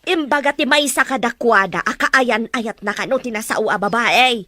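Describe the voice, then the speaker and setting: A woman's voice: fairly high pitch, moderate pace, pushed and bright, no room sound. A woman with an Ilocano Filipino accent playing a hot-tempered, meddling older mother in a radio drama, scolding emphatically. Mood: gossiping, indignant.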